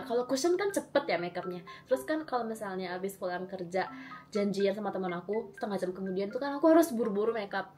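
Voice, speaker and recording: A young woman in her twenties, slightly hoarse from a recent cold, chatting casually and rambling with lots of fillers and giggles while she does her makeup at home. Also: mid-range voice, darkish tone. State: recovering from a cold. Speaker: beauty vlogger. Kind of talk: casual makeup vlog chat.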